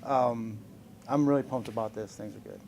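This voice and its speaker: A man in his forties fielding questions from reporters, loose and upbeat, joking about his own age between answers. His voice sits at an everyday middle pitch, with a faint hiss under it. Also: fairly even intonation, normal speed, a little mellow. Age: 40s. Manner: upbeat, chuckling, self-deprecating. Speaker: professional fighter being interviewed. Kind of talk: casual press scrum answers.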